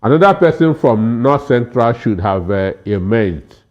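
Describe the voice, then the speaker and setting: Slow, halting Nigerian male pundit, dull audio. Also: mid-range voice, expressive, darkish tone. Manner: halting, stammering, heavily hesitant. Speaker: political analyst panel guest. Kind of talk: political commentary.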